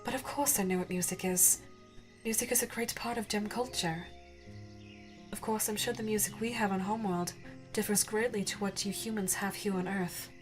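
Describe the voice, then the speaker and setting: A woman's voice: medium pitch, steady tone, over music, close to an everyday voice. A woman voicing a regal female alien gem in an ASMR audio roleplay, formal and curious, tender and easily awed. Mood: composed, intrigued. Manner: formal, wondering, halting when moved.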